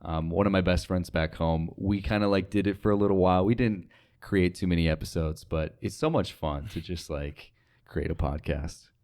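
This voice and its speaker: A man's voice: deep voice, moderate pace, a little mellow. A young man talking casually as an interview guest, informal and rambling, often saying "like". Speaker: podcast guest, radio sales associate.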